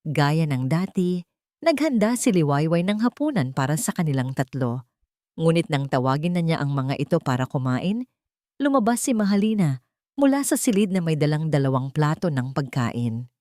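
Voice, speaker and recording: A woman reading scripted dramatic story narration in measured, short phrases. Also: deep voice, expressive, mellow, dry recording, clean studio sound.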